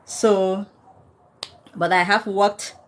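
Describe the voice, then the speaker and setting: A woman's voice: mid-range voice, steady tone, drawn-out words, slight background noise. A woman from Cameroon in her thirties, chatting casually to viewers about money and thanking them by name as she goes. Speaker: personal finance content creator. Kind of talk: casual livestream talk to viewers.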